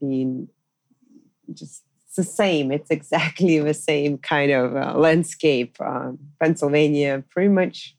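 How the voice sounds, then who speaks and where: A middle-aged woman with a Russian accent, hosting a podcast as a dating coach in casual, reflective talk, pausing often with fillers. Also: sits low, a little mellow, no echo. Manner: reflective, pauses with frequent fillers. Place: quiet farmhouse in the countryside.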